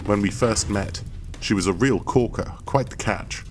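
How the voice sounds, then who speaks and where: An actor playing a proud, bitter, obsessed expedition leader and husband, delivered as grandiose, theatrical first-person audio drama narration. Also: male voice, lowish voice, measured pace, slight background noise, close to an everyday voice. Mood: grim, remorseful.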